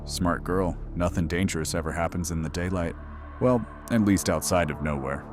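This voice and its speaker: Suave male horror-radio host played by an actor, deep register, dry, theatrical and sardonic. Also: moderate pace, over music, lightly acted. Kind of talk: late-night horror radio drama.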